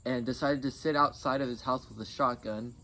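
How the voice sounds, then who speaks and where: A man's voice: mid-range voice, fairly even intonation, brisk, bright, forceful tone. A young man telling a story casually, in a laid-back, matter-of-fact way. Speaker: interview guest. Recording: noisy, with clear background noise.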